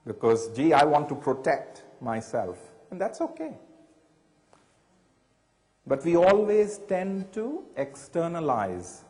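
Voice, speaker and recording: A man giving a reflective talk, measured and thoughtful, often posing rhetorical questions to his listeners. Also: mid-range voice, darkish tone, long reverb tail. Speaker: speaker.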